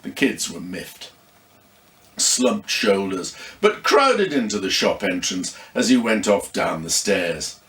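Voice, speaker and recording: A man reading a story expressively, with dramatic pauses. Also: low-pitched, big pitch swings, a bit bright, roomy sound. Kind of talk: scripted story narration.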